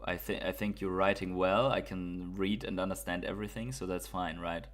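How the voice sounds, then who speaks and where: A man's voice: low voice, normal speed, faint music behind. German-accented man hosting a crypto stream. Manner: relaxed, thinks aloud with frequent fillers and repeated words. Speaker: crypto livestream host. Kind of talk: casual livestream chat reading viewer comments.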